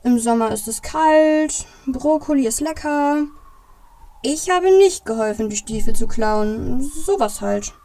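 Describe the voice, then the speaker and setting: A woman playing a slow-witted, cookie-loving male Christmas elf in a children's audio play, naive and plodding. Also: sits high, animated, dark tone. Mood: simple, deadpan.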